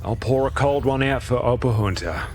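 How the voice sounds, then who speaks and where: An actor playing a brash, roguish spaceship captain in a video game, speaking in a cheeky, informal way. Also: male voice, medium pitch, moderate pace, faint music behind, close to an everyday voice. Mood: sympathetic. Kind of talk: scripted video game character dialogue.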